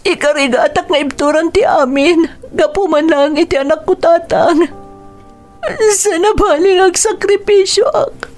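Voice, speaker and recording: A young mother in a radio melodrama, speaking high with a Filipino accent. She is anguished and pleading, heavy with emotion. Music plays softly beneath her. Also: unhurried. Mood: tearful pleading. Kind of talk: Ilocano radio drama acting. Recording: music bed under the dialogue.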